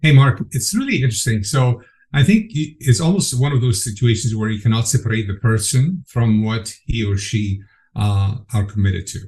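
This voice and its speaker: A man's voice: mid-range voice, moderate pace, a little mellow. A middle-aged man from Egypt, a program director speaking as a podcast guest, earnest and enthusiastic with frequent restarts. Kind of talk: conversational interview.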